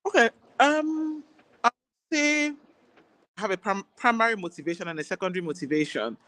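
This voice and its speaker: A woman with a Nigerian accent, an Igbo speaker, talking as a guest doctor in a relaxed interview, chatty and quick to laugh. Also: medium pitch, no echo. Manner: warm, chatty, laughs easily. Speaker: guest, general practitioner. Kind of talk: casual interview chat.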